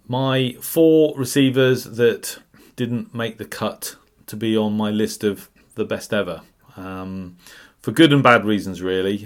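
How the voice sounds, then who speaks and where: A British man giving a casual, unscripted review commentary in a measured, opinionated way, feeling for his phrasing as he goes. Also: lowish voice. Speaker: radio enthusiast reviewer. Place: voice-over over still images.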